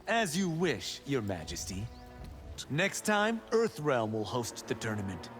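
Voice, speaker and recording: Cocky young warrior monk, lively. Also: male voice, mid-range voice, measured pace, soft background music. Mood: cocky, earnest. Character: cocky young Shaolin warrior, brash but respectful. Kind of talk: video game character dialogue.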